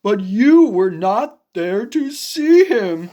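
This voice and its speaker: A woman voicing a big, emotional, needy male elephant from a children's picture book, with dramatic, drawn-out delivery. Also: medium pitch, big pitch swings, dark tone, muffled recording. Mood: dramatic, regretful.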